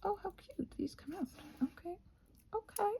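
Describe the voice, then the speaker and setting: An American woman rambling casually while she does crafts, halting and trailing off into soft-spoken asides. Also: very high-pitched, gravelly, slight background noise. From United States. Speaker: craft and ASMR channel host.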